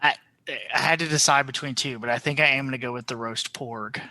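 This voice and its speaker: A man's voice: medium pitch, steady tone, brisk, pushed and bright, dry recording. A man joking casually as a panelist on a fan podcast.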